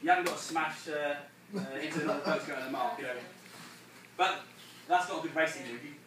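A man's voice: fairly high pitch, fast, fairly bright tone, recorded from a distance. A man coaching a group of sailors through a race debrief, explaining casually with many restarts and laughs. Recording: echoey room, from afar, faint noise.

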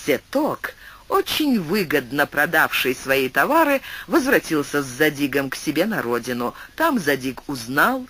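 A man's voice: fairly high pitch, fairly bright tone, slightly rough. A man narrating a classic tale for an audiobook, reading expressively. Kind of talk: scripted narration.

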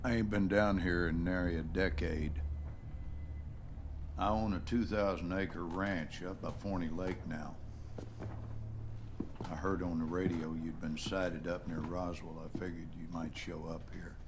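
A man playing a harsh, bitter rural father in a game cutscene, with a Southern American accent and a gruff, folksy, scolding manner. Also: low voice, steady tone, a little slow, background noise. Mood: cold, bitter. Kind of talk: dramatic video game cutscene acting.

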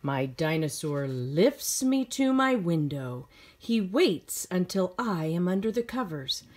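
A woman's voice: lowish voice, very animated, slow pace, darkish tone. A middle-aged woman reading a children's picture book aloud expressively, with simple phrasing for young listeners.

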